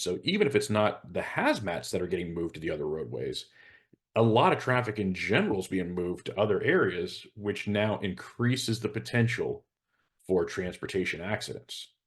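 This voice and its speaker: A man's voice: sits low, expressive, moderate pace. A man talking as a show host in a casual professional discussion, explaining and giving practical advice. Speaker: hazmat consultant and show host.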